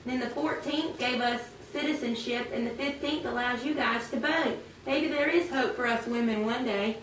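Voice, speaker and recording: A woman's voice: on the high side, moderate pace, fairly bright tone, pitched below the performer's own voice, natural voice. A woman voicing a hopeful freed woman in a puppet show, explaining and chatting conversationally. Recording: roomy, distant, noisy amateur recording.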